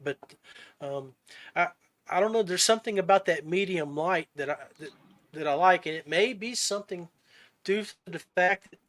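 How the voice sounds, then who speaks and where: A man's voice: sits high, moderate pace, fairly bright tone. A man hosting a casual interview podcast, talking in an informal, folksy way. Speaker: podcast host.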